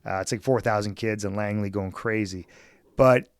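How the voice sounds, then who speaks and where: A man's voice: lowish voice, fairly even intonation, normal speed, clear, resonant tone, dry recording, clean studio sound. A middle-aged man from Edmonton, Canada, chatting casually and enthusiastically as an interview guest, often adding "man" and "right?". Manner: easygoing, enthusiastic, peppers speech with "man" and "right?". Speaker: guest, former basketball team executive.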